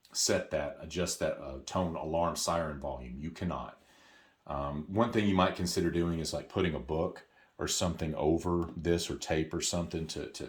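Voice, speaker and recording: A man giving a casual, hands-on product tutorial, explaining things informally and repeating key points for emphasis. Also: a bass voice.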